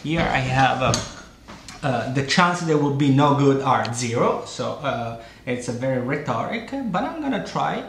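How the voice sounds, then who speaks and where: A man in his forties with a Sicilian Italian accent, hosting a casual home cooking demonstration, unhurried with many hesitations. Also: medium pitch, animated, reverberant, noisy background. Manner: unhurried, hesitant with many fillers, relishing tastes. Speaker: cooking show host. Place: home dining room, indoors.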